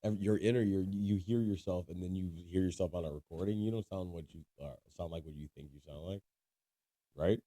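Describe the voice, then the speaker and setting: A man's voice: low voice, fairly quick, mellow. A man co-hosting a casual podcast, teasing his friend, laughing often and explaining things at length. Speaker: podcast co-host. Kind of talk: casual chat.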